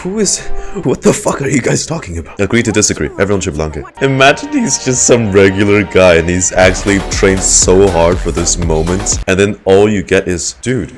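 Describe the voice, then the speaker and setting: A man giving casual, excitable live reaction commentary to a game, teasing and swearing freely. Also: deep voice, moderate pace, soft background music.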